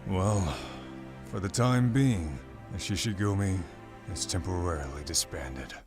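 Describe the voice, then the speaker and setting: A man voicing a loyal, resigned lion gangster in a dramatic dub, with deliberate, theatrical delivery. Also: deep voice. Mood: wry resignation. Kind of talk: dramatic fan dub over music. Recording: loud music under the voice.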